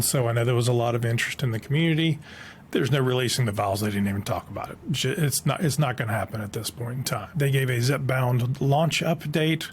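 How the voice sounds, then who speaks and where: Plain-spoken man with background noise. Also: mid-range voice. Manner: plain-spoken, informal asides. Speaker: online video host. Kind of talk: explainer commentary, partly reading aloud.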